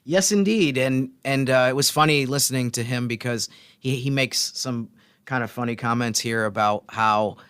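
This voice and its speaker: A man's voice: medium pitch, moderate pace, a bit bright, clear, resonant tone, dry recording. A man co-hosting a sports podcast, talking basketball casually like a team reporter.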